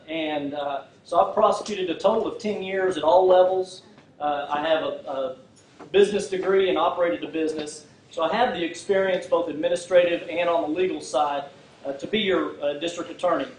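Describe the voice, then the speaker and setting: A man giving a folksy campaign speech with lots of "uh" fillers and restarts, speaking in a community hall without a microphone. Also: fairly high pitch. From Fort Worth, Texas. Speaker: political candidate. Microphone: no microphone, recorded from far off. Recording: echoey hall from far off, faint hiss.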